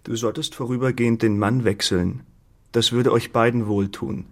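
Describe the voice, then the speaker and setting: Radio play: male actor as sharp-tongued young farmhand, cheeky, provocative, medium-pitched male voice. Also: fairly even intonation, normal speed, natural voice. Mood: taunting. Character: insolent, loyal young farm lad with sharp tongue. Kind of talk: literary German radio drama acting.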